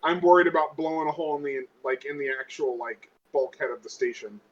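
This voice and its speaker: A man's voice: fairly high pitch, steady tone, fairly quick, muffled recording. A man playing a tabletop roleplaying game, chatting casually and thinking aloud in a hesitant way.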